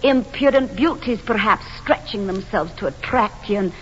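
A woman's voice: mid-range voice, animated, normal speed, close to an everyday voice. An actress playing a devoted, self-doubting but determined woman in a stage drama, with an earnest, yearning, intense delivery. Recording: dull hall recording with hiss.

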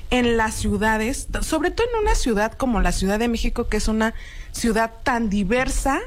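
A woman, a guest explaining her views in an earnest, steady way on a talk show. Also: on the high side, normal speed. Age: 30s. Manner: earnest, explanatory, frequent tag questions. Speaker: guest expert. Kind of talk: radio talk show discussion.

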